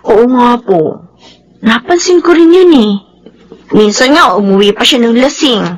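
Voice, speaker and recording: A woman's voice: fairly high pitch, animated, measured pace, poor audio. A woman playing a cheeky, inquisitive young student sister in a Filipino radio drama, with a pert, questioning manner. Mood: candid. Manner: bright-eyed, pert, questioning.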